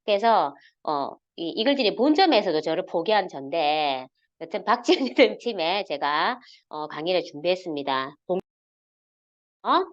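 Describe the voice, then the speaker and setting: A woman in her forties with a Gyeongsang accent, giving a halting, filler-heavy guest talk over a video call. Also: muffled recording. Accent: Gyeongsang Korean. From South Korea, Gyeongsang region. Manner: halting, many fillers, lively pitch swings. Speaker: guest speaker.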